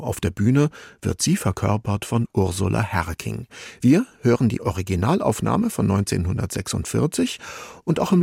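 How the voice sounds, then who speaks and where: Gravelly, husky male radio narrator. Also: fairly low pitch, a little mellow, dry recording, clean studio sound. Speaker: radio presenter. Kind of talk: scripted documentary narration.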